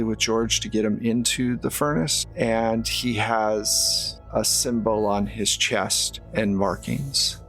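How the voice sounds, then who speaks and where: A man's voice: medium pitch, fairly bright tone. A man narrating a horror tabletop roleplaying game as the game master. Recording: music behind the voice.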